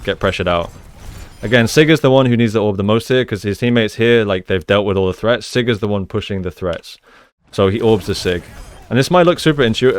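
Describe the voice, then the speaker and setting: A man with a fairly low, clean and smooth voice breaks down video game play as a coach. He explains things in a measured way, often repeating a phrase to stress a point. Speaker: esports coach, video host. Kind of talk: gameplay analysis commentary.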